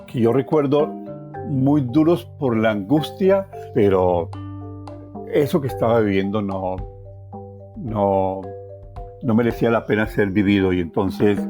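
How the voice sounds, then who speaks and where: A man with a Colombian accent recounts his own life in an expressive, reflective way, repeating phrases for emphasis. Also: fairly low pitch, unhurried, pauses often, music in the background. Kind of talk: personal oral storytelling.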